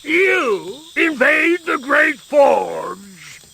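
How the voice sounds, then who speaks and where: Slow, gravelly, foreboding temple gatekeeper. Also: male voice, extremely high pitch, very animated, bright, forceful tone, a little hiss or hum, heavily acted. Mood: scornful. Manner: theatrical, slow, drawn-out warnings. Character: eccentric male temple gatekeeper, scornful, foreboding. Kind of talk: video game cutscene acting.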